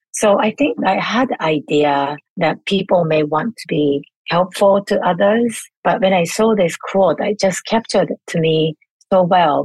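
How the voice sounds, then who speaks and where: A middle-aged woman from Japan with a Japanese accent, answering interview questions thoughtfully, pausing to search for words. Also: lowish voice, a little mellow, slightly rough, no room sound, low-quality recording. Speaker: guest, elder care researcher.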